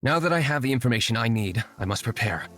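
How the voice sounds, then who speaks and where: A man's voice: medium pitch, animated, quick pace, fairly bright tone, slight background noise, lightly acted. An actor voicing a cool, stern young male vigilante in a video game, speaking formally and with controlled menace. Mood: composed, serious.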